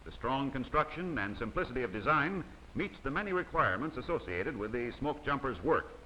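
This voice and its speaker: Man for a formal instructional film voice-over, bright, sharp tone, faint hiss underneath. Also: mid-range voice, sing-song, fairly quick, low-quality recording. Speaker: narrator of a training film. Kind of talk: scripted documentary narration. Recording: faint hiss of old 16mm optical soundtrack.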